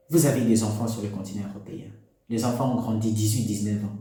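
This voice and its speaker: A man's voice: lowish voice, darkish tone. Preachy man in echoey room. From DR Congo. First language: Congolese national language. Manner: preachy, exhorting, full of rhetorical questions. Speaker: commentator addressing parents. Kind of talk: opinion monologue to camera.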